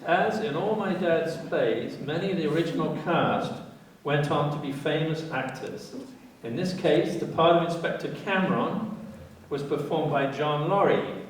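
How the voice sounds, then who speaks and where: A man with a slightly high voice addresses a live audience as the narrator introducing a play. He is heard from off the microphone in a very echoey theatre, with faint noise. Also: moderate pace, far from the mic. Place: indoor theatre, distant microphone.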